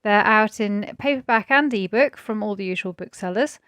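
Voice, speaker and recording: A woman's voice: mid-range voice, moderate pace, a bit bright, clear, resonant tone, no echo, studio quality. A woman hosting an interview podcast, phrasing her thoughts carefully and appreciatively. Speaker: podcast host and publisher.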